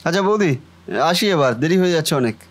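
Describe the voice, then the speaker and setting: A man's voice: fairly high pitch, expressive, fast talker, natural voice. Man acting earnest young shopkeeper brother. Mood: cheerful, brisk. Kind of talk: Bengali family cartoon voice acting.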